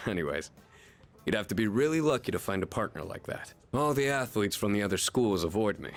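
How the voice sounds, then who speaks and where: A sporty, competitive young male character from a video game. He has a fairly low voice and talks casually, with friendly earnestness. Soft music sits in the background.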